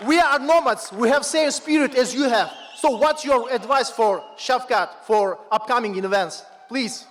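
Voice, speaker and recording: High-voiced Kazakh fan in a hall. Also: male voice, a little slow, hardly any pauses, fairly bright tone, some chatter behind. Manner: simple English, enthusiastic. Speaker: fan asking a question. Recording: echoey hall with faint noise.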